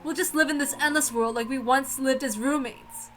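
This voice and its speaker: Woman acting a grand witch, high bright voice, imperious, theatrical, possessive, formal visual novel delivery. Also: steady tone, moderate pace, a little hiss or hum. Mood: baffled, pleading. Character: grand, possessive golden witch, lonely and insistent.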